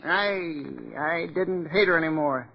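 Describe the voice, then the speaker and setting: An actor playing a bitter, defensive gardener in an old-time radio drama, curt and evasive. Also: male voice, on the high side, measured pace, dull audio. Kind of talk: 1940s radio drama acting.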